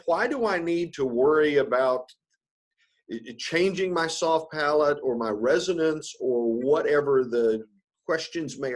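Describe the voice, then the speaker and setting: Husky, higher-pitched man hosting an interview. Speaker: podcast interviewer, host. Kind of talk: casual conversational interviewing.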